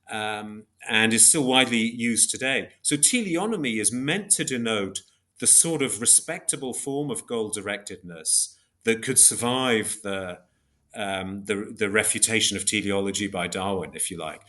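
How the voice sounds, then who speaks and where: A man giving an academic explanation in an interview, measured and hesitant, working step by step through examples. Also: lowish voice, sharp tone. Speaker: podcast guest, philosopher of biology.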